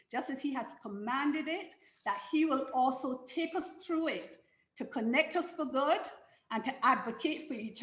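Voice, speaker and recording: A woman hosting a live online conversation, speaking slowly and deliberately. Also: fairly high pitch. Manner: slow and deliberate, prayerful. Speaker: live show host. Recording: echoey room.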